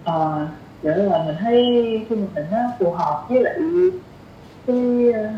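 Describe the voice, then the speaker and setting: Young woman, southern Vietnamese accent, casual podcast guest, mid-pitched voice, informal, repeats phrases, echoey room. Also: animated, normal speed, lo-fi sound, a little hiss or hum. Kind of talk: casual conversational podcast chat. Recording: roomy echo, faint music behind. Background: faint music.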